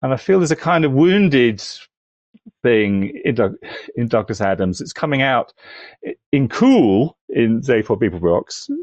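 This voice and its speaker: Muffled, animated man thinking aloud. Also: mid-range voice, unhurried, no room sound. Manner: tentative, searching, self-correcting. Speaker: podcast panellist. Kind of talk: casual analytical discussion.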